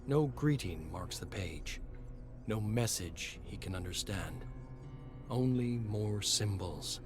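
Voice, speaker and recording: A man narrating a scripted story dramatically, in a measured and solemn manner. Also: lowish voice, expressive. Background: music.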